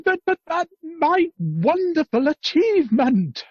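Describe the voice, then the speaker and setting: A man acting an earnest, flustered gentleman inventor in a radio play, pedantic and hesitant, with stammered repeats. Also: very high-pitched, big pitch swings, takes it slowly, dry recording, soft background music, full character voice. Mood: flustered, dismayed. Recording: dull, lo-fi video call audio.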